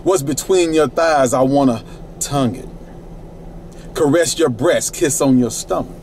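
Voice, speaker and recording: A man's voice: medium pitch, measured pace, a little mellow, slight background noise. A middle-aged man reciting his own rhyming spoken word poetry in a laid-back, rhythmic way from inside a parked minivan at night.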